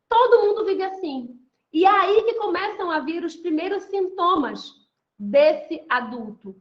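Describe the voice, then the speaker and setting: Chatty high-voiced woman from Bahia. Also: dull audio. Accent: light Bahian Portuguese. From Salvador, Bahia, Brazil. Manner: chatty, addresses listeners directly, many tag questions. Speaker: online lecturer and women's mentor. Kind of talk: online talk to an audience.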